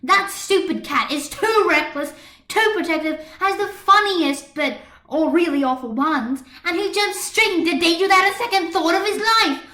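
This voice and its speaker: A woman voicing a fiery, stubborn schoolgirl in a fan fiction story, forceful and indignant as she argues. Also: very high voice, moderate pace, pitched above the performer's own voice. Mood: furious ranting. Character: fiery, stubborn schoolgirl passionately defending her hero. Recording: echoey room on computer microphone.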